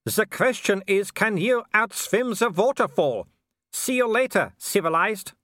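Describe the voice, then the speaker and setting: A man voicing a gloating male werewolf villain in an audiobook, taunting with drawn-out, mocking courtesy. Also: high-pitched, animated, sharp tone, no room sound, studio quality, pitched above the performer's own voice, full character voice. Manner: taunting, drawn-out mock politeness.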